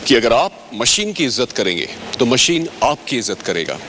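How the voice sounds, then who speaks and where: Man presenting instructions in a lively pitch. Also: moderate pace, slight background noise. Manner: courteous, instructive, addressing viewers directly. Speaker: presenter as truck service advisor. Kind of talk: scripted training-film presentation.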